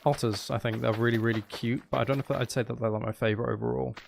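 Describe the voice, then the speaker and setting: A man with a gravelly, creaky voice and a middling pitch hosts a casual game stream. He banters with viewers in playful mock threats, with faint noise behind him. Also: flat delivery, rapid-fire. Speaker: streamer, host. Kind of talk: casual gameplay livestream chat.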